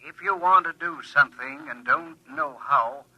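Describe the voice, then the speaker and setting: An actor voicing a helpful talking computer that recites answers precisely and formally, in old radio drama style. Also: male voice, fairly high pitch, normal speed, bright, forceful tone, a little hiss or hum, poor audio. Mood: matter-of-fact. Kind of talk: 1950s radio drama acting.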